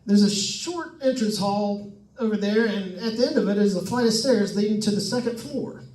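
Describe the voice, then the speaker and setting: A man's voice: high-pitched, normal speed, darkish tone, close to an everyday voice. A man playing a jokey, chatty radio host on a ghost hunt in old-time radio drama style, with folksy asides to listeners. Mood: uneasy, narrating. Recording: echoey room, distant microphone.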